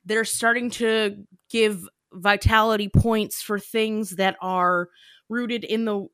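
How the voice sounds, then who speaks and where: A woman's voice: mid-range voice, a bit bright, clean tone, no room sound. Podcast host, young woman, chatty with asides, mid-range voice, dry close mic. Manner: chatty, laughing, trailing off into asides. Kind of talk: casual chat.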